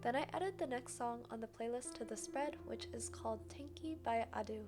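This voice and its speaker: A woman's voice: a little high, brisk. A young woman giving a chatty, scripted voice-over as the narrator of a craft video. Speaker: journaling video creator and narrator. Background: background music.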